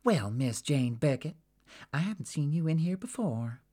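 A man voicing a brash, loud-mouthed woman with a faint Texan accent, blunt and nosy, swearing freely. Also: fairly high pitch, sing-song, normal speed, voice pushed up.

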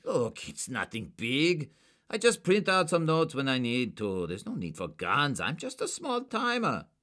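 A man putting on a jittery high-voiced crook. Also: very animated, normal speed, fairly bright tone. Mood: nervous. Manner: nervous, evasive, contemptuous. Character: wary small-time male counterfeiter. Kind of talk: audiobook character voice.

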